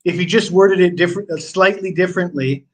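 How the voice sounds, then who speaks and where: A man co-hosting a sports betting talk show who weighs his picks out loud, stumbling over restarts and hedging before he commits. His voice sits slightly high for a man. He sounds easygoing rather than forceful. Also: fairly quick. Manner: hesitant, restarts and hedges.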